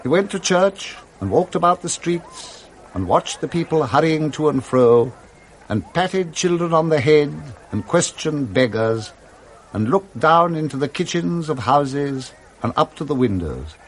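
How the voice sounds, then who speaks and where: An English man narrates in a dramatic storybook style for radio. He relishes every word and moves his pitch about freely and expressively. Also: sing-song, a little slow, a little hiss or hum, poor audio.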